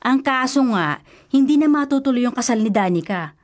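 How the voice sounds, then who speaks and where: High-voiced, stubborn elder sister. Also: female voice, lively intonation, brisk. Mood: pleading, defensive. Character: superstitious, stubborn, nagging elder sister and frustrated fiancée. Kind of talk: radio drama acting. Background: faint music.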